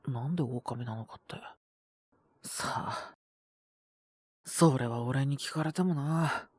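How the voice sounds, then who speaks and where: Man acting a husky, languid lovesick wolf boyfriend. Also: medium pitch, takes it slowly, dry recording, studio quality. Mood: teasing, sulky. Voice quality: husky, breathy, often near a whisper. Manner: languid, rough casual speech, teasing. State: sick with a cold, coughing (acted). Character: possessive young half-human wolf man, lovesick, teasingly mean. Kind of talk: intimate romantic audio roleplay for women listeners.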